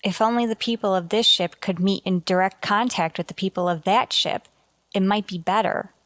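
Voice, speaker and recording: A woman's voice: mid-range voice, moderate pace, fairly bright tone, no echo, natural voice. Starship officer, female actor: earnest and deferential, careful speech, mid-range voice, radio sci-fi. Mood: formal, wistful. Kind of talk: radio sci-fi drama acting.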